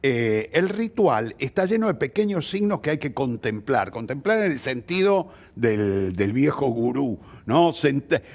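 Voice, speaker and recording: A man with an Argentine accent answering in a conversational interview, expressive and fond of illustrating his points with examples. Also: medium pitch, measured pace, few pauses. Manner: expressive, illustrates with anecdotes and acted quotes. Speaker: theatre practitioner interviewed as guest.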